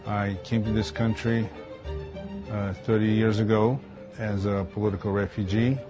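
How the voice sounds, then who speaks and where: A middle-aged man with a Ukrainian accent and a fairly low voice tells his story earnestly, halting now and then. Music plays clearly underneath, and the audio is dull and lo-fi. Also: level tone. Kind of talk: testimonial interview.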